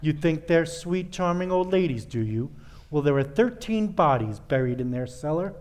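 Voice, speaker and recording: A man's voice: fairly high pitch, expressive, moderate pace, a little mellow, natural voice. Man as a menacing, swooping radio villain. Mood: urgent, bitter. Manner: commanding, sinister. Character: menacing, domineering male murderer, coldly polite villain. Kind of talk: old-time radio play acting.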